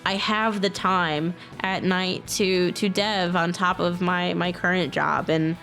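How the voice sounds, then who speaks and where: A woman talking casually and earnestly to her viewers, excited and informal in manner, as a video creator. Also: on the low side, slow pace, faint music behind. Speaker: video creator and game modder. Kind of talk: casual, personal talk to viewers.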